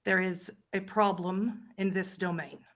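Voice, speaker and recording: A woman voicing a haughty vampire prince, an elegant powerful woman speaking in a formal, condescending, measured way. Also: on the low side, steady tone, natural voice. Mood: imperious, measured.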